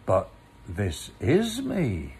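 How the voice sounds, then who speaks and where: A man voicing a friendly, slow-witted storybook bear who speaks ponderously and politely and hums to himself. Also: lowish voice, wildly swooping pitch, a little mellow, a little hiss or hum, full character voice. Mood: puzzled. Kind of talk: storybook character voice.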